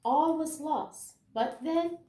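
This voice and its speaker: Woman educator, middle-aged, read-aloud presenter, slightly high voice, noticeably roomy sound. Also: slow, mellow. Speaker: educator reading a children's picture book aloud. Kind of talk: expressive read-aloud and book commentary for children and teachers. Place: small room at home, indoors. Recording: roomy indoor echo.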